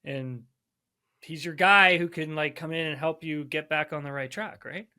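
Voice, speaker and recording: A man in his thirties, a former racing driver, chatting casually as podcast co-host over a video call, animated and full of "like" and "right?". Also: fairly high pitch, normal speed, smooth. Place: indoor room. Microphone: headphones, video call.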